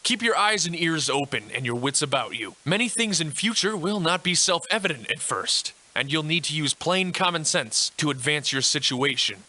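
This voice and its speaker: A man's voice: on the high side, lively intonation, moderate pace, few pauses, pushed and bright, heavily acted. A man voicing a pompous, eccentric Victorian-style instructor in a video game, cheerfully insulting and florid in his old-fashioned phrasing. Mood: stern, patronizing. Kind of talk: theatrical video game tutorial narration.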